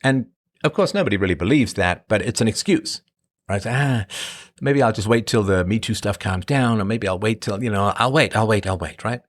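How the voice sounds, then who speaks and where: A middle-aged man from Ireland and England, rambling casually as a host answering his live chat. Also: normal speed. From born in Ireland, grew up in England. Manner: rambling, conversational, emphatic swings in pitch. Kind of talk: casual monologue.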